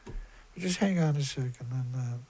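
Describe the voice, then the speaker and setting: A man with a squeaky voice hosting a live stream in a rambling, blunt, aggrieved and emphatic monologue that often repeats itself. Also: moderate pace, mellow. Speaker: live stream host, environmental activist.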